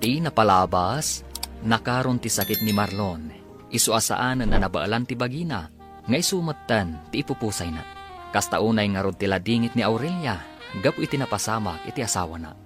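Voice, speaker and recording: A man announces and narrates a radio drama with an Ilocano accent. His lowish voice rises and falls dramatically, stretching key words, with music clearly playing behind him. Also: expressive. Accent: Filipino, Ilocano. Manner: theatrical, drawn-out emphasis.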